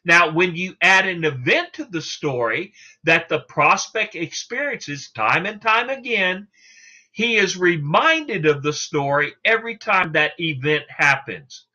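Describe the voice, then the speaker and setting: A man's voice: high voice, animated, a little slow, sharp tone. A man giving an instructional talk as a sales coach, emphatic and salesman-like, putting rhetorical questions to the listener. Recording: dull, lo-fi sound.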